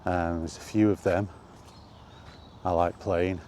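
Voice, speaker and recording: A man hosting a casual, rambling chat, thinking aloud with hesitant pauses. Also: sits low, fairly even intonation, warm tone. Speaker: video host reminiscing about games. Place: on the move, walkie talkie vlog. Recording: faint ambient background noise.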